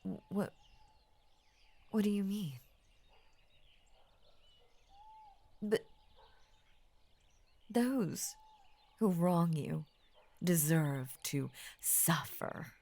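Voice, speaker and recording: A woman voicing an ancient, possessive and adoring lamia in an intimate roleplay audio, speaking softly in grand formal phrases that often drop to a near-whisper. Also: lowish voice, drawn-out words, long pauses, full character voice. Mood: flustered, reluctant.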